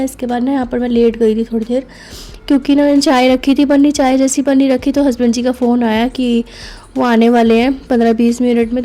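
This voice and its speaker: A woman's voice: fairly high pitch, warm tone. A young woman narrating her family vlog in voice-over, chatty and full of fillers. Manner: chatty, many fillers like "na" and "matlab". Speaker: family vlogger.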